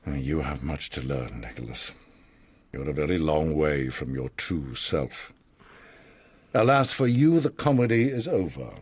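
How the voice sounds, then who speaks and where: Very deep, husky older mastermind. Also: male voice, lively intonation, darkish tone, no room sound, full character voice. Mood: dismissive, commanding. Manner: theatrical, measured, philosophical, formal phrasing. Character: older worldly, enigmatic male mastermind of a staged game. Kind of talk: radio drama acting, storytelling monologue.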